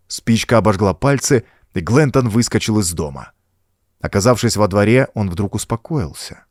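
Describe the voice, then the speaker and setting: A man's voice: on the low side, darkish tone, no room sound, studio quality. A man narrating a horror adventure audiobook in a suspenseful, theatrical manner. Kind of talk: dramatic scripted narration of a horror adventure story.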